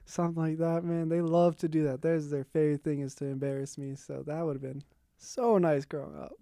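A young man hosting a casual podcast conversation, chatty and quick to laugh. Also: a little high, fairly even intonation, vocal fry, dark tone, no echo, studio quality. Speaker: podcast host.

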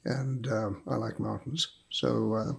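An older man with a South African accent, a guest telling stories in an unhurried, dry, self-mocking way. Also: fairly low pitch, steady tone, creaky, breathy edge. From Zimbabwe and South Africa. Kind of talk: casual interview.

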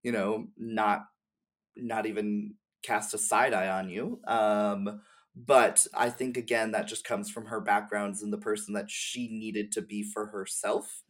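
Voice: mid-range voice, flat delivery, unhurried. A podcast guest and streamer chatting casually in a friendly, gushing, slangy way. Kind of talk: casual chat.

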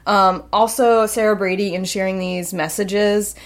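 A woman's voice: medium pitch, steady tone, a little slow. A woman in her thirties from Long Island, co-hosting a casual podcast chat, blunt and sarcastic with free swearing. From Long Island, New York. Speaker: podcast co-host.

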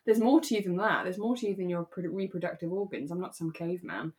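A woman co-hosting a podcast, giving her opinions emphatically in relaxed, casual chat. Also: fairly low pitch, fast. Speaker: podcast co-host. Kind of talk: casual chat reviewing a radio drama.

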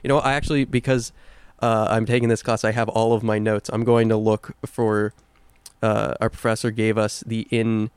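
A man's voice: lowish voice, level tone, normal speed, clean tone, no room sound. A young man co-hosting a casual video game podcast, opinionated and swearing freely. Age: young adult. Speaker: podcast co-host. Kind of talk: casual chat about video games.

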